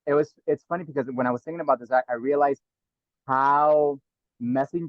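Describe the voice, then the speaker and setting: New guy in his middle years, thinks out loud, repeats himself, dead dry muffled call audio. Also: mid-range voice, steady tone, fairly quick, dry recording. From New York (South Bronx). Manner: earnest, reflective, repeats words as he thinks. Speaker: guest. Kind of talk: casual interview talk.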